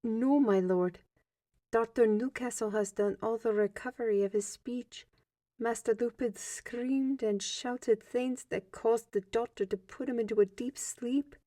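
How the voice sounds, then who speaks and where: A woman's voice: a little high, steady tone, unhurried, dark tone, no room sound, lightly acted. A woman playing a polite, deferential servant in an audio drama, hesitant and respectful in manner. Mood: dutiful, respectful.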